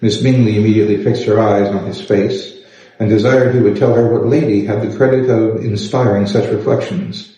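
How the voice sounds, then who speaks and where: A man's voice: on the low side, steady tone, moderate pace, dark tone. A man narrating a classic novel aloud as a storyteller. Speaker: reader. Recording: echoey room, slightly dull sound.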